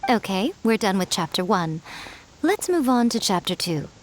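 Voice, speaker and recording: A woman's voice: medium pitch, big pitch swings, normal speed, low-quality recording. An actress voicing a sly, self-assured fox-spirit woman in a video game, teasing and worldly as she mentors. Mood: approving. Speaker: publisher and mentor.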